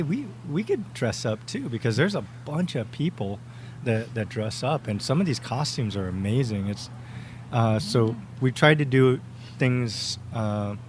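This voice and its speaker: A man's voice: medium pitch, darkish tone, slight background noise. Laid-back man with lots of fillers. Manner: laid-back, rambling with many fillers and laughs. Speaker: podcast guest, cosplayer. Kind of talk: casual interview chat.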